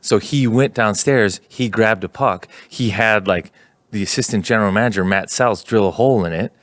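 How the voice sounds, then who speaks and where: Male podcast host, lowish pitch, dry studio sound, casual storyteller who leans on repetition for emphasis. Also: normal speed, no echo. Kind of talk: casual sports podcast banter.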